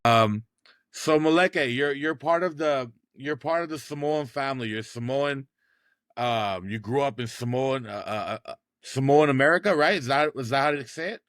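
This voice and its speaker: A man's voice: medium pitch, normal speed, fairly bright tone, dry recording, clean studio sound. A man interviewing a guest casually, addressing him in a friendly, informal way. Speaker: interviewer, show host.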